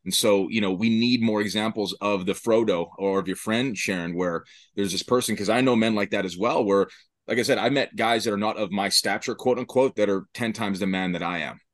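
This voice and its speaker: A man's voice: on the low side, fairly quick, no room sound, clean studio sound. A man talking in an earnest, emphatic way during a casual podcast discussion.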